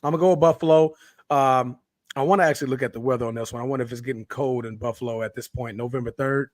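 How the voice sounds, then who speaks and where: A man co-hosting a sports podcast with a hoarse, raspy voice, chatting loosely with plenty of fillers. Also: medium pitch, fast talker, dry recording, studio quality. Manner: relaxed, rambling with many fillers. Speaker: podcast co-host. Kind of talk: casual sports chat.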